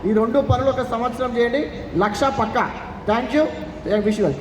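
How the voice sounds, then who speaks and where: A man's voice: extremely high pitch, normal speed. Male motivational speaker, Telangana Telugu accent, English-sprinkled delivery, far from the mic in a long-reverb hall. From Godavarikhani, Telangana, India. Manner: mixes in English, starts points with "so". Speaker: lorry owner and part-time network marketer. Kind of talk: motivational testimonial speech to an audience. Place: large echoing hall. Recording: very echoey hall, distant mic, noisy.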